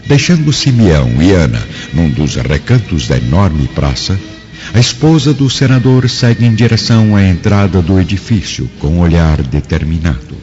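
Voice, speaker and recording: A man's voice: sits low, darkish tone. A man with a Brazilian accent giving dramatic scripted narration for a radio drama. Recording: dull lo-fi audio with music.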